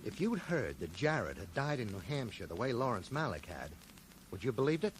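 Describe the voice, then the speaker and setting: A man's voice: mid-range voice, very animated, a little fast, natural voice. An actor playing a thoughtful, persistent American man puzzling out a mystery in a radio drama, dry and questioning. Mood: earnest, questioning. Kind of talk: 1980s radio drama acting. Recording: noisy old radio broadcast recording.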